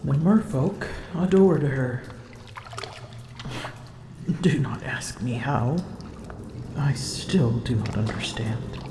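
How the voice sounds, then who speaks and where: A woman's voice: extremely low pitch, expressive, reverberant, noisy background, exaggerated voice. A woman voicing a proud, smug female dragon in human form, haughty and teasing, in an ASMR roleplay. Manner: haughty, teasing, slow and deliberate.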